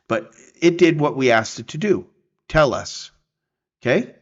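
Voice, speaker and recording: Male tutorial presenter, mid-pitched, informal and explanatory, slightly muffled lo-fi voice-over. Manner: informal, explanatory, checks in with "okay" and "right". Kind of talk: casual screen-share software tutorial.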